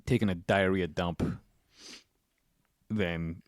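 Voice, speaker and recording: A man with a low voice rambles through jokes and fillers at a podcast table, sounding a bit stuffy with allergies. The recording is dry and close, with no room sound. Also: fairly even intonation, slow pace, dry recording. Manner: rambling, joking, many fillers and restarts. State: sniffly from allergies. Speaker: podcast co-host. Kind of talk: casual banter. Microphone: studio mic at a table.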